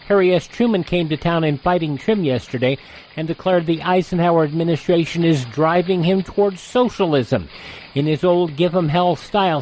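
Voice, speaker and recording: A man's voice: a little high, darkish tone, a little hiss or hum, low-quality recording. A man reading scripted news headlines as a radio presenter.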